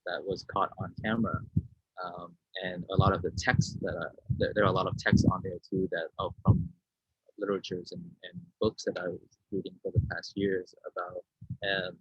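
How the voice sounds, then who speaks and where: Husky guy in his 30s from vietnam, hesitant, feeling for words, dull video call sound. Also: deep voice, flat delivery, moderate pace. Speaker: artist being interviewed. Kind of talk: informal talk about own artwork. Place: indoor room, on a video call. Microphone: video-call microphone. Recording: muffled, roomy video-call audio.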